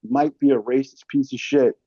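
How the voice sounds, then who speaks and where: A man in casual chat as an interview guest, telling stories in an animated, slangy way and swearing freely. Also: medium pitch, normal speed, husky, dry recording, highs cut off. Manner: slangy, calls listener man and brother. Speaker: interview guest, actor and filmmaker.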